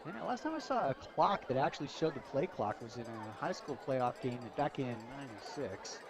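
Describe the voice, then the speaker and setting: A man's voice: medium pitch, expressive, brisk. A man giving conversational live football commentary as a radio color commentator. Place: outdoor high school football stadium. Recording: outdoor broadcast, clear crowd noise. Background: stadium crowd noise.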